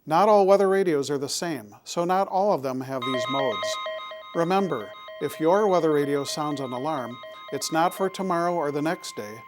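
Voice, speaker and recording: A man presenting scripted public safety information in a deliberate, instructional manner. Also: a little high, animated, music in the background.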